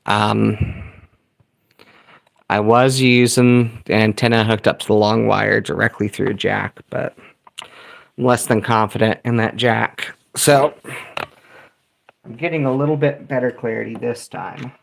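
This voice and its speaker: Casual male streamer, gravelly voice, laid-back rambling delivery. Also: fairly low pitch, a little slow, pauses often. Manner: relaxed, rambling, thinking aloud with fillers. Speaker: streamer tuning shortwave radio. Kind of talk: casual live chat reading viewer comments.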